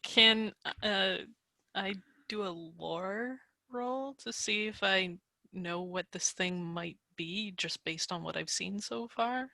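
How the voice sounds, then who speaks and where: A woman's voice: mid-range voice, slow, creaky, bright, forceful tone, no echo. A woman playing a tabletop game over a video call, talking casually and unhurriedly. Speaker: player.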